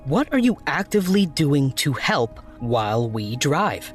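Male activist blogger, terse, dry, medium pitch, fiction podcast, faint music behind. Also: very animated, measured pace. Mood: irritated, challenging. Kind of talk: naturalistic audio drama acting.

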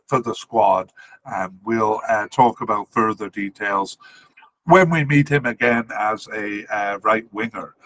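A man's voice: mid-range voice, a bit bright, no echo, rough recording. Male podcast host from Wales, informal sports analyst, methodical and hesitant delivery, close dry home recording. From Cardiff, Wales. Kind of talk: informal player-by-player squad analysis.

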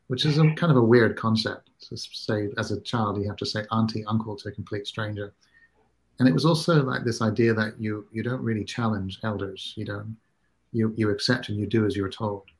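A man in his thirties from Singapore hosts the conversation in a fairly low voice. He is earnest and halting, stumbling over repeated words as he works toward what he means. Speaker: host of a parenting talk show, new father. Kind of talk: casual conversation.

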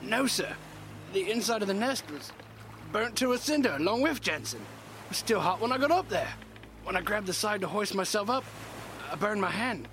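An actor playing a nervous young deckhand, hesitant, stammering and respectful, in a seafaring audio drama. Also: male voice, high-pitched, lively intonation, normal speed, fairly bright tone, a little hiss or hum. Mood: shaken, deferential.

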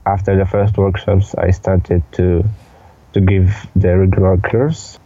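An eighteen-year-old man with a Polish accent, giving casual interview answers in a hesitant, halting way with repeated words. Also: low voice, level tone, dark tone, no room sound, dull audio. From Poland. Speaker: podcast guest, dance instructor.

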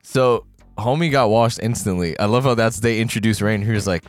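A man's voice: on the low side, fairly quick, no room sound, soft background music. A man co-hosting a podcast in casual chat, swearing freely and acting out scenes he recaps. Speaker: podcast co-host.

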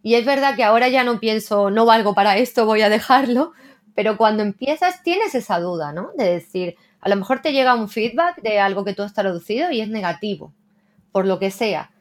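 A woman's voice: mid-range voice, animated, a little fast. A woman translator talking reflectively in a casual interview, often tagging her sentences with a questioning "¿no?". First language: Spanish. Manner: reflective, frequent "¿no?" tags.

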